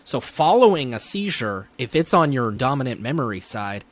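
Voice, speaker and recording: A man's voice: medium pitch, big pitch swings, moderate pace, no echo. A man speaking as a neurologist guest in an interview, thinking aloud as he explains clinical points conversationally.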